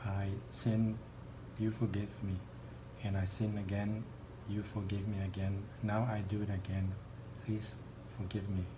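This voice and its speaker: An earnest man in his forties, a native Cantonese speaker, teaching a children's Bible lesson in simple, careful words. His voice sits fairly low, with a faint hiss behind it. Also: level tone. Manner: earnest, simple and slow-paced. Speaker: Sunday school teacher. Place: outdoors in a park and a living room.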